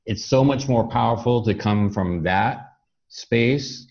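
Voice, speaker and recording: A man speaking as a talk show host, reflective and conversational, explaining ideas in a measured way. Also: mid-range voice, a little mellow, muffled recording.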